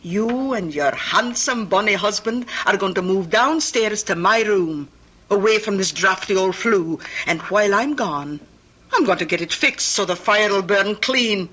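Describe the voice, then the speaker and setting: An actress playing a cheery, bossy, affectionate older Scottish aunt in a radio drama, motherly and brisk with folksy talk. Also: medium pitch, lively intonation, a bit bright, slightly rough, rough recording. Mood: cheerful, insistent. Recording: old radio broadcast.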